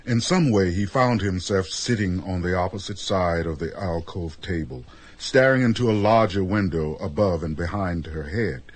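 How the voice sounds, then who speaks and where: A man with a deep, husky voice delivering scripted third-person narration for a radio drama. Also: moderate pace.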